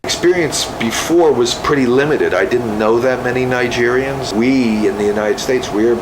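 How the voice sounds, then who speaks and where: A man speaks casually in an interview, relaxed and a little halting. A steady hum of air conditioning and ambient noise sits clearly behind his voice. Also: mid-range voice. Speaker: theatre producer interviewee.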